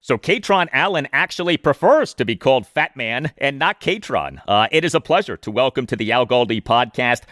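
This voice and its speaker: A man's voice: mid-range voice, expressive, normal speed, hardly any pauses, fairly bright tone, no echo. Man hosting a sports podcast, dry sound. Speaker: podcast host and interviewer. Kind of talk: sports interview, introducing a guest.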